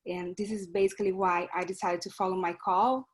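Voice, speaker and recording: A woman's voice: on the low side, fairly even intonation, brisk. A woman from Brazil with a Brazilian Portuguese accent, giving an earnest, slightly hesitant self-introduction over a video call. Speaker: club member introducing herself. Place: online meeting.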